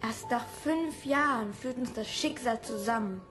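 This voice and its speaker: A small orphan boy acted by a woman in a radio play, high-pitched and dreamy. He speaks slowly and earnestly, with music clearly behind him. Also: natural voice. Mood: hopeful, stubborn. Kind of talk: German audio drama acting.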